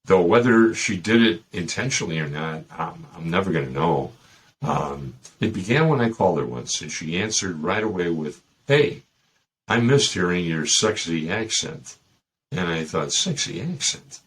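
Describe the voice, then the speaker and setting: A man with a Chicago accent and a very low, husky voice gives dating advice in a loose, rambling way. He is middle-aged and keeps tossing in joking asides. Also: lively intonation, moderate pace. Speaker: host. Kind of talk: casual dating advice talk.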